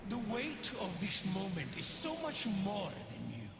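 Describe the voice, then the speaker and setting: A brooding, grief-mad undead king from a video game. His voice sits fairly high and swings theatrically with obsession and grandeur. Long reverb surrounds it, with music playing underneath. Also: wildly swooping pitch, measured pace, creaky, full character voice. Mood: menacing, defiant. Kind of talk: dramatic video game villain voice lines.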